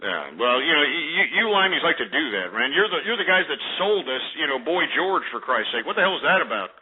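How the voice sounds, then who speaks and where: A man's voice: on the high side, very animated, fast, husky. An American man hosting a call-in radio show, ranting argumentatively in a blustering, mocking manner and cutting in. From United States. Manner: combative, blustering, mocking, interrupting. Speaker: radio talk show host. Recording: tinny phone-like internet radio sound.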